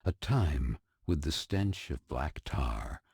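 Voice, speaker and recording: An actor playing a theatrical storybook narrator in an animated-style game, telling a fairy tale in ornate, dramatic phrasing. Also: male voice, very deep voice, slow, breathy edge, no echo, studio quality, heavily acted. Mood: ominous, grave. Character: theatrical storybook narrator, deep-voiced man, grave and whimsical. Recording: music behind the voice.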